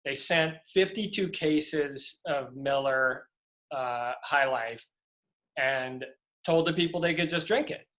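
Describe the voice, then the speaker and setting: A middle-aged man from Louisiana, a prop master telling stories in a relaxed interview over a video call, rambling with many fillers and restarts. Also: on the high side, slow pace.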